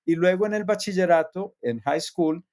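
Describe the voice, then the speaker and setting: A man's voice: sits high, moderate pace, darkish tone. A man from near Medellín with a Colombian accent, a guest telling his life story in a thoughtful way with many hesitations. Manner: thoughtful, frequent hesitations and restarts. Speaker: guest, psychotherapist and actor. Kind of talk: reflective personal storytelling.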